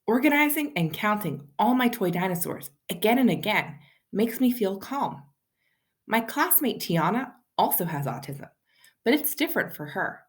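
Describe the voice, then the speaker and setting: Female storyteller for children's picture book read-aloud, animated and expressive, mid-range pitch. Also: normal speed. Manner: animated, addresses child listeners with questions.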